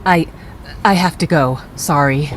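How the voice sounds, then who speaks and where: Cold, commanding villainess therapist. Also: female voice, sits low, a little slow, a little hiss or hum, rough recording. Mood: uneasy, evasive. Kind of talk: dramatic game cutscene dialogue. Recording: music behind the voice.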